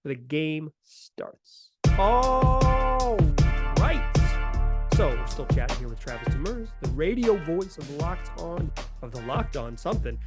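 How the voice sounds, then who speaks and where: A man's voice: on the high side, very animated, a little slow, loud music behind the voice. A man hosting a sports podcast, talking informally and repeating phrases for emphasis, close on the microphone. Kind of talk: casual sports talk and analysis.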